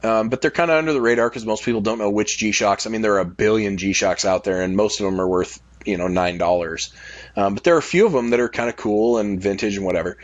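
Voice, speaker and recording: A man's voice: lowish voice, fast, dry recording, muffled recording. A man talking casually on a podcast, sharing personal anecdotes in a loose, conversational way. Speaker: podcast speaker.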